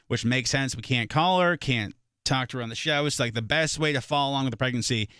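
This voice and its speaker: Guy hosting a morning radio show, talks fast, trips over and restarts sentences, dry close mic. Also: medium pitch, bright, forceful tone, clear, resonant tone, dry recording. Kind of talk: casual radio chat. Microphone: close studio mic.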